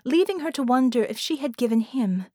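A woman's voice: high-pitched, expressive, brisk, darkish tone, no echo. A woman reading scripted fiction narration as an audiobook narrator, recorded close.

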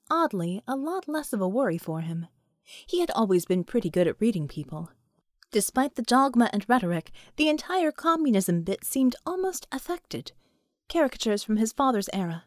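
A woman's voice: fairly high pitch, no room sound, clean studio sound. A woman reading a story aloud as scripted audiobook narration.

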